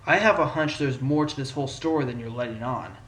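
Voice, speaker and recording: A man's voice: mid-range voice, fairly quick, natural voice. A man playing a determined, probing fox defense attorney in a fan courtroom audio drama, given to dramatic delivery. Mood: forceful, challenging. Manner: dramatic shouted objections, pondering asides. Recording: roomy echo under loud music.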